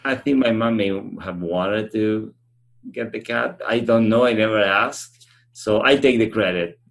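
A middle-aged man with an Argentine accent, answering interview questions in an earnest, halting, conversational way. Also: lowish voice, gravelly. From central Argentina. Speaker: animal shelter representative being interviewed.

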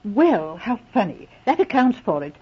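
A woman's voice: mid-range voice, very animated, normal speed, slightly rough. A woman playing a gossipy, teasing sister and colonel's wife, exclamatory, in 1940s radio drama style. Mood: gossipy, eager. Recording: muffled old radio recording.

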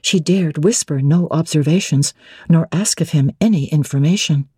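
Woman audiobook narrator, mellow husky voice, expressive, theatrical, literary reading, dry close recording. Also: sits low, moderate pace, no room sound. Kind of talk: dramatic literary narration in first person.

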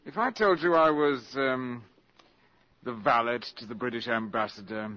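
An actor playing a suave, cynical valet turned spy in a 1950s radio drama, with urbane, precise, sardonic diction. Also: male voice, medium pitch, moderate pace, close to an everyday voice. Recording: dull 1950s radio broadcast recording.

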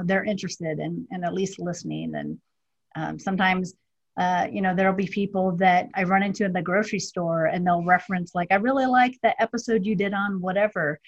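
A woman entrepreneur talking reflectively in a casual conversation, filling pauses with "you know". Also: fairly low pitch, dull audio. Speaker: podcast guest, entrepreneur.

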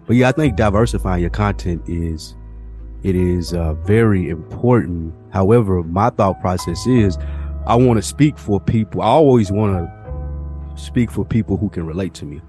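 A man's voice: low-pitched, moderate pace, dark tone, faint music behind. A man co-hosting a podcast, talking casually in long, winding thoughts. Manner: laid-back, talks in long winding thoughts. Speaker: podcast co-host. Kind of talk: casual conversation.

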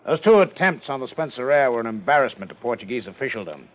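Casting: dry, exacting lawman, formal diction with varied pitch, 1950s radio serial, lo-fi period audio. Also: very animated, quick pace. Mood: stern, official. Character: dry, measured male police official. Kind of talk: 1950s American radio drama acting. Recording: dull old 1950s radio recording.

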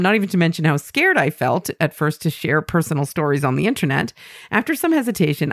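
A woman hosting a podcast, chatting warmly with guests and reading listener mail aloud. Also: low-pitched, dry recording, clean studio sound. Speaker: podcast host. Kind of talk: casual podcast talk.

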